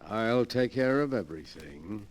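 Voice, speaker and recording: An actor playing a calm, smug male schemer who coaxes and manipulates, in 1940s radio acting style. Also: on the low side, unhurried, slight background noise, poor audio. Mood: scheming. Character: scheming, smug male collector of killers' relics.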